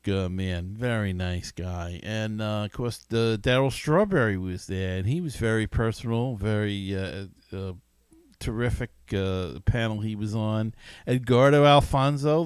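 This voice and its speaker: A man hosting a casual solo sports talk show in a rambling, halting, enthusiastic manner. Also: lowish voice, clean tone, no room sound, clean studio sound. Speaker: podcast host.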